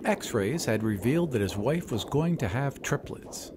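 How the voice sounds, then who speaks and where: A man hosting a podcast and narrating an audio drama in a measured, expressive way. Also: medium pitch, background noise. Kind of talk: scripted storytelling narration.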